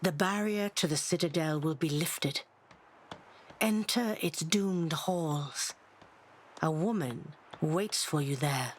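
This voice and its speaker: A mysterious elder fortune-teller of a travelling band in a fantasy game. Her voice is low and slow, proud and full of portent, over faint background noise. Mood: ominous, proud. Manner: portentous, haughty, deliberate. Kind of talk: fantasy game dialogue acting.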